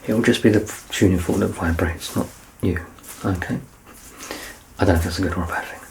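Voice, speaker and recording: A man in his thirties doing an ASMR medical exam roleplay as a doctor, soft-spoken, reassuring and explanatory. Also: low-pitched, fairly even intonation, a little fast, a little hiss or hum, low-quality recording. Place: small curtained indoor room.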